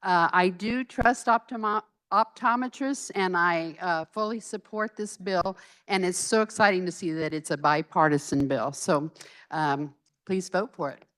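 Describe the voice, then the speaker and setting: A woman serving as a state legislator, giving a halting speech in a legislative chamber, searching for words. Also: on the low side, a bit bright. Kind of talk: floor debate speech.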